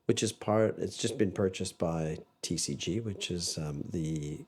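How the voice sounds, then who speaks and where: A man's voice: deep voice, a little slow, darkish tone, breathy edge. A man with a mixed Canadian and Australian accent, talking casually as a podcast guest, rambling and restarting often. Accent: Canadian and Australian mix. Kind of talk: casual interview chat.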